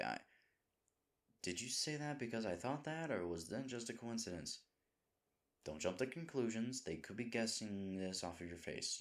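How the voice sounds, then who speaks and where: A man playing a lovable, nervous himbo boyfriend in an intimate audio roleplay, earnest and rambling. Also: lowish voice. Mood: confused, flustered. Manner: earnest, rambling, hesitant, dropping into breathy asides.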